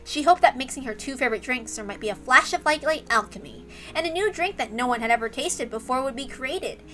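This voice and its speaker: A woman's voice: high voice, expressive, a little fast, bright, forceful tone, faint music behind. A woman reading a visual novel aloud as its narrator, in scripted storytelling style.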